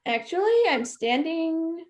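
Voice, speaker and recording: Very high-voiced snide college girl played by a woman. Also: very animated, slow pace, darkish tone, full character voice. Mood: sarcastic. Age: young adult. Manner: sarcastic. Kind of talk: stage acting in a student one-act play.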